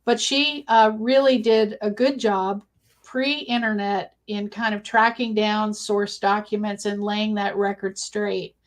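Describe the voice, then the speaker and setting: A woman speaking as a guest researcher, giving conversational interview answers in a thoughtful, halting way. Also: mid-range voice, fairly even intonation.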